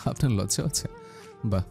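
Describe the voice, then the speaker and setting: A man's voice: on the low side, slow pace, gravelly, a little mellow, soft background music. A man acting a romantic, possessive young army officer husband in an audio love story, with an intimate, teasing, intense manner. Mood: teasing, commanding.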